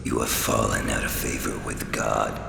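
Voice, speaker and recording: Cavernous, gravelly, sinister Devil. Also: male voice, extremely low pitch, level tone, exaggerated voice. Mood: ominous. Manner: formal, ominous, deliberate. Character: the Devil, sinister, mocking, formal, ancient male demon. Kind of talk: radio play acting. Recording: long cavernous reverb, background noise.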